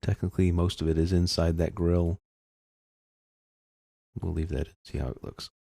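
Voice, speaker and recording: Very deep, creaky-voiced man. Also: fairly even intonation, moderate pace, dark tone, no room sound, clean studio sound. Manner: explanatory, informal, thinking aloud. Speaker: software tutorial instructor. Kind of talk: step-by-step screen tutorial narration, casual.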